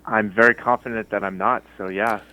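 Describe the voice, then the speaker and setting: A man's voice: lowish voice, fairly even intonation, brisk, a crowd in the background. A man answering an unscripted phone call. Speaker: person receiving a phone call. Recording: muffled, lo-fi phone line.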